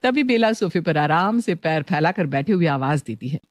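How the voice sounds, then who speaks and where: Lower-pitched woman narrating a story. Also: animated, moderate pace, dry recording, clean studio sound.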